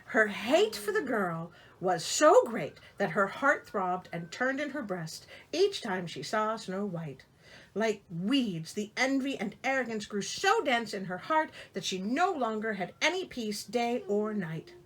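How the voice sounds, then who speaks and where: A woman's voice: a little high, sing-song, slow, fairly bright tone. A woman reading a fairy tale aloud expressively, with theatrical, incredulous and wry asides. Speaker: storyteller and host.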